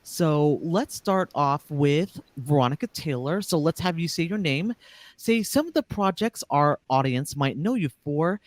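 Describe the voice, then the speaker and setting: A man's voice: fairly high pitch, animated, a little slow. A man hosting a panel, introducing guests and moderating in a conversational way, on a close studio microphone. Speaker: panel host.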